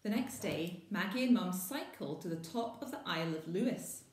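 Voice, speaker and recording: A woman's voice: fairly low pitch, lively intonation, normal speed, a little hiss or hum. Scottish woman reading a storybook, echoey room. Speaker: children's author reading own picture book. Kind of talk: storybook reading with chatty asides to children.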